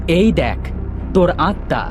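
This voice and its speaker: A woman plays a brave young man from a cartoon fairy tale. The voice is lowish and very animated, earnest and expressive, with loud music behind it. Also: unhurried. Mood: determined.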